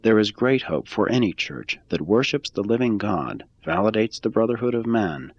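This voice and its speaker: A man with a very low, husky voice reads a religious text aloud, his pitch rising and falling in lively arcs. The sound is dry and a little muffled. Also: moderate pace, dry recording. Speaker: reader. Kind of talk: scripted reading of a religious text.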